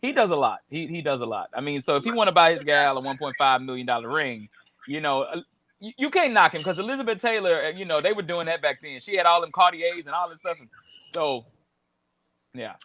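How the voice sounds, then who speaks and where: A man's voice: on the high side, animated, fairly quick, bright, forceful tone. A man with a Southern US accent co-hosting a casual chat show, talking through celebrity news with lots of fillers and restarts. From American South. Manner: chatty, many fillers and restarts. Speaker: podcast co-host.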